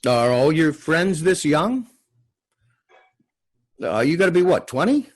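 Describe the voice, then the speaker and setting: A man's voice: a little high, sing-song, slow, pitched above the performer's own voice, heavily acted. A man voicing a genial middle-aged small-town man in a television drama read, folksy, rambling and reflective. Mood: folksy, curious. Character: genial, hefty forty-four-year-old small-town man.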